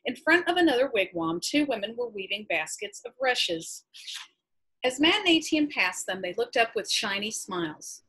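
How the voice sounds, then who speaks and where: An American woman in her forties with a husky voice, a teacher reading a novel aloud expressively and adding explanatory asides. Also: a little high, big pitch swings, moderate pace, fairly bright tone, rough recording. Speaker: high school English teacher reading to class. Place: small room at home, indoors.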